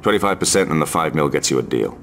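Weary middle aged agent for a game cutscene, super deep n croaky, dry and blunt. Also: male voice, lively intonation, fast, slight background noise. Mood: indignant. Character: jaded, hard-bargaining veteran male secret agent. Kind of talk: video game cutscene acting.

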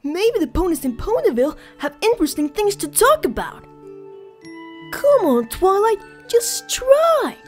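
A woman's voice: extremely high pitch, big pitch swings, unhurried, soft background music, full character voice. A woman voicing a young boy dragon, an earnest and slightly sarcastic sidekick in a cartoon fan dub. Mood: encouraging.

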